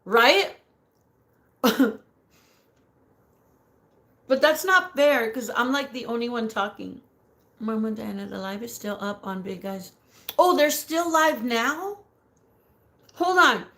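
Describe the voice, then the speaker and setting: A woman with a raspy voice chatting casually on a live stream, greeting viewers by name, giggling often and slipping Spanish words into her talk. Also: fairly high pitch, slow pace, pauses often, fairly bright tone. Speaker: livestream host reading viewer comments.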